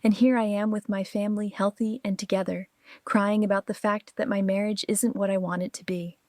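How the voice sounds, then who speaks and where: A woman's voice: mid-range voice, level tone, fairly quick, a little mellow, dry recording, studio quality, close to an everyday voice. A woman playing a conflicted, defensive and vulnerable married mother in an audio drama. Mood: guilty, vulnerable.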